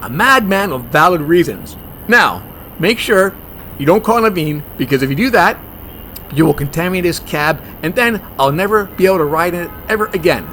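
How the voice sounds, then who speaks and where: An actor playing a fussy, germophobic monster hunter, blunt and bossy, in a comedy horror audio drama. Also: male voice, sits high, normal speed, a little hiss or hum. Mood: dismissive, deadpan. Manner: deadpan, argumentative.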